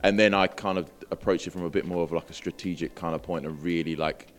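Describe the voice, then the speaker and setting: A man's voice: sits low, steady tone, quick pace. A man from Britain, bilingual in Greek and English, talking casually and reflectively as a musician being interviewed, with plenty of slang.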